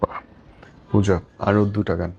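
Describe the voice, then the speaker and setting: A man's voice: on the low side, level tone, unhurried, gravelly, darkish tone, muffled recording, a little hiss or hum. A man hosting an interview, asking short casual questions. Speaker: interviewer, show host.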